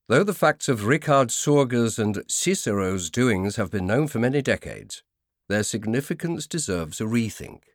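A man narrating a scripted nonfiction audiobook in a measured, formal manner. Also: mid-range voice, no echo, studio quality.

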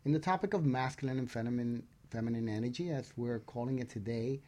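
Guy co-hosting a talk show, medium pitched voice, casual chat. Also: quick pace, a little mellow. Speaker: radio show co-host.

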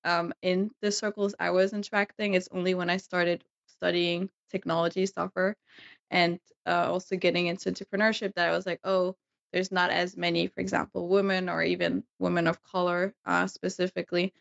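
A woman from Denmark speaking as a guest on a panel discussion, in a relaxed, thoughtful way. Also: on the low side, gravelly. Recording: dull lo-fi audio.

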